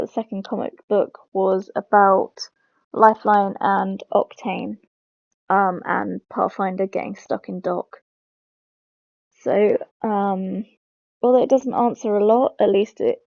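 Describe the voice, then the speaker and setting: A woman's voice: medium pitch, vocal fry, darkish tone, dry recording. A woman hosting a game lore video, explaining it informally as she goes. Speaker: host of a game lore channel. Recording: dull lo-fi close mic.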